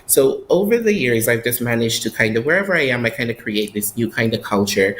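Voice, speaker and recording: A man's voice: medium pitch, lively intonation, brisk, fairly bright tone, a little hiss or hum. A man from Barbados, a guest who lives abroad, talking casually in an interview with many fillers and restarts.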